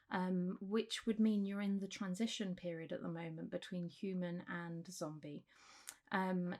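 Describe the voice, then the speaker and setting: A woman playing a calm, reassuring doctor in a soft-spoken ASMR roleplay, gently drifting in and out of whispers. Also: lowish voice. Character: calm, reassuring female clinic doctor for zombie patients. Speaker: ASMR performer.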